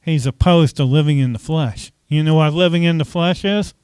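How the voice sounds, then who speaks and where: A man's voice: fairly high pitch, normal speed, a little mellow, smooth, no room sound. A man preaching a conversational sermon as pastor, often asking the congregation questions and saying "okay" between points.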